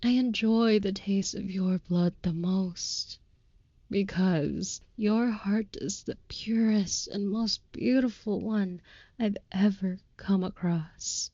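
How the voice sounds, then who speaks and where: A woman's voice: medium pitch, takes it slowly, mellow, dry recording, dull audio. A woman voicing a devoted, overprotective female vampire in an intimate audio roleplay, with formal, old-fashioned, tender and earnest phrasing.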